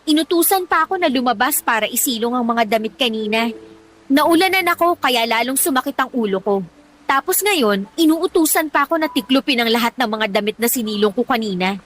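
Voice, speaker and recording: A woman's voice: fairly high pitch, animated, brisk, a bit bright. A woman playing a defiant, lazy young Filipino girlfriend in a radio drama, arguing back in a whiny manner. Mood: complaining. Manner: whiny, argumentative.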